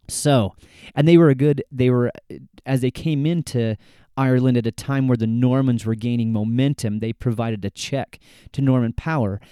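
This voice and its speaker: A man hosting a history podcast, talking at a measured pace and explaining things step by step, with frequent restarts. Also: medium pitch, warm tone, clean tone, no room sound, clean studio sound. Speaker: podcast host. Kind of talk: solo history podcast talk.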